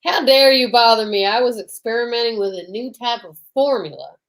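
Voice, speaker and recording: Female actor as eccentric boozy male photographer, high voice, pompous and theatrical, radio-play style. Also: fairly quick, lightly acted, low-quality recording. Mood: pompous, tipsy. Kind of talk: old-time radio comedy-detective acting.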